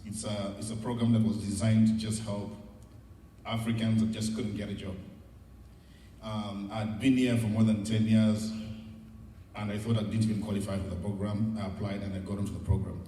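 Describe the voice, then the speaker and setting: A man's voice: on the low side, level tone, moderate pace, mellow. A middle-aged man with a Ugandan accent gives an informal motivational talk in a candid, encouraging, conversational way. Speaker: guest speaker at a seminar. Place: large indoor event room. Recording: echoey hall, distant mic, noisy.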